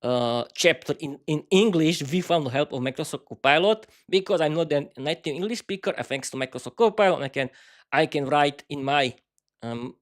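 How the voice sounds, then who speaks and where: A man with a Slovak accent, a native Slovak speaker, hosting a casual live-stream podcast in a halting way with many restarts. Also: a little high, lively intonation. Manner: halting, many restarts and fillers, talks to himself by name. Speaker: podcast host.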